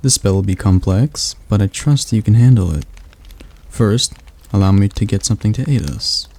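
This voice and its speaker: A man voicing a patient demon magic tutor in an ASMR roleplay, talking slowly and softly as he coaxes his student through a spell. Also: lowish voice. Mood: patient, coaxing. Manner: slow, soft, coaxing instruction.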